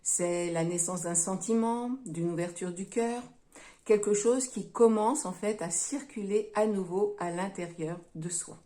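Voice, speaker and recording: A woman giving a tarot reading to her viewers, speaking gently and unhurriedly, often pausing with hesitations and calling them her friends. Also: mid-range voice. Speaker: tarot reader.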